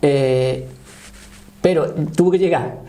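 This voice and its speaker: A man in his sixties with a Spanish accent explains and recounts his experience in a halting, conversational way, often checking he is understood. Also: mid-range voice, warm tone. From Spain. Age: 60s. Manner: halting, often seeking confirmation. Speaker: interview guest, paranormal voice researcher.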